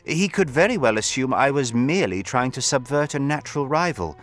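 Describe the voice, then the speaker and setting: Animated, scheming nobleman, played by a man. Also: mid-range voice, natural voice. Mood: feigned concern. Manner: formal, measured, insinuating. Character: smooth, scheming aristocratic count, suavely insincere statesman. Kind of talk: video game voice acting.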